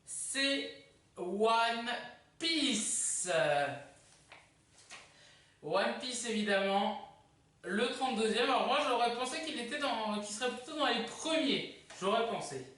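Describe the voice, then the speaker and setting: A YouTube host casually presenting a countdown video in a chatty, halting way. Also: medium pitch, a bit bright. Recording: echoey room, distant microphone.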